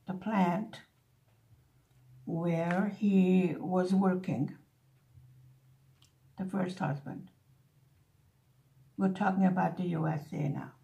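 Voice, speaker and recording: Older lady in her 70s, native hungarian speaker, takes her time talking, casual craft vlog chat, middle pitch. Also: mellow. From born in Hungary, grew up in the USA. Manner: unhurried, pausing storytelling. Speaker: craft vlogger.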